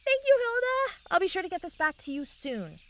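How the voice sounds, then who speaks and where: High, animated, sweet-talking young woman actress. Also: a little fast, slight background noise, full character voice. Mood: pleased. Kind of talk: comedic fantasy audio drama acting.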